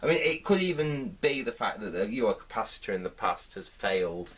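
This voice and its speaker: A man with a lowish, distinctly husky voice talks through a hands-on repair. He speaks off the cuff and haltingly, thinking aloud as he works. He sounds a little way from the microphone. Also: very animated, a bit bright, far from the mic. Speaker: host of a vintage radio repair channel. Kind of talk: unscripted hands-on repair commentary.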